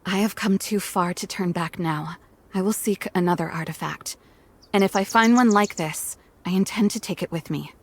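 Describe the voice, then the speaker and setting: A woman's voice: lowish voice, moderate pace, breathy edge. An actress playing a determined, hopeful young werewolf woman in a game cutscene, speaking in an earnest, resolute and pleading way. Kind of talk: dramatic game cutscene acting.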